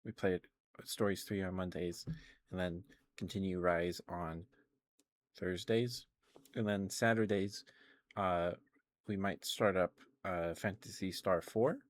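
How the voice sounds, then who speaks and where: Low-pitched man rambling on an ASMR stream. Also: fairly even intonation, unhurried, long pauses. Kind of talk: casual rambling ASMR livestream chat.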